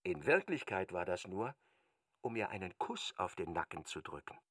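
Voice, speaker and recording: A man's voice: sits low, sing-song, moderate pace, a bit bright, studio quality, exaggerated voice. A man narrating a literary radio drama as a wry storyteller who echoes an aggrieved wife's thoughts. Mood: indignant, wry.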